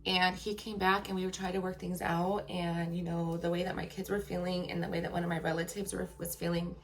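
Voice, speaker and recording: A woman telling a personal story to her viewers. She speaks frankly and emphatically and keeps checking in with "okay?". Her voice is turning raspy after a long workday. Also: fairly low pitch, level tone, a little fast.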